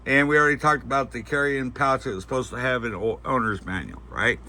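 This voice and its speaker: Man with a very bright, creaky voice. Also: medium pitch, expressive. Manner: halting, folksy, thinking aloud while handling the item. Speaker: host of a gear review channel. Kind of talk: casual unscripted product demonstration. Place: indoors at home at night. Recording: faint background noise.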